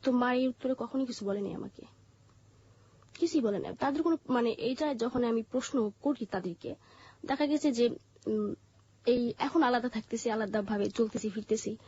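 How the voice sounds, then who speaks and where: Bangladeshi female interview guest, high-pitched husky voice, halting personal narration, dull lo-fi sound. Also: mellow. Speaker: interview guest telling her life story.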